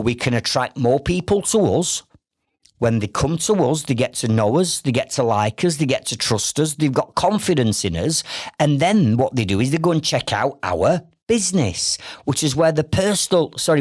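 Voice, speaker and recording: Lively Yorkshire man hosting a podcast. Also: medium pitch, no echo, studio quality. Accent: Northern English, Yorkshire. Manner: direct, persuasive, full of rhetorical questions. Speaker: podcast host. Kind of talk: solo business podcast monologue.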